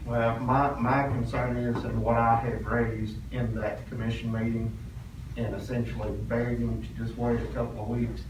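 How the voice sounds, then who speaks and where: A man speaking as a committee member in a formal public meeting, with halting, deliberate phrasing. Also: medium pitch, flat delivery. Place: indoor public meeting room. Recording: very echoey room, distant mic, noisy.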